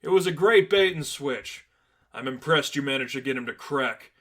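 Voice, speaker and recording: A cocky young male hero, voiced by a man narrator, playful and teasing. He tosses out wisecracks in a storybook superhero tale, a little lifted in pitch. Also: expressive, normal speed, fairly bright tone.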